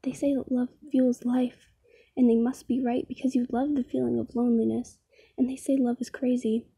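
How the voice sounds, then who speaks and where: A woman performing a slam poem in a soft, breathy voice with a solemn, deliberate delivery. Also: a little high, flat delivery, dry recording. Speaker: poet. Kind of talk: slam poetry recital.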